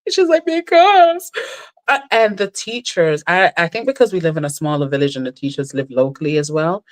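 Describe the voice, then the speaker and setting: A middle-aged woman chatting as a guest, telling stories lively and leaping up in pitch when she quotes people. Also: a little fast. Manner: animated storyteller, jumps high when quoting others. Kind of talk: casual chat.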